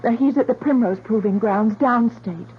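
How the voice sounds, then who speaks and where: A woman's voice: fairly high pitch, moderate pace, soft background music, lightly acted. An actress playing a troubled, evasive woman with a hesitant, wistful manner in a 1970s radio drama. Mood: anxious. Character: troubled, evasive, soft-hearted woman with a secret. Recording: muffled, hissy old radio broadcast.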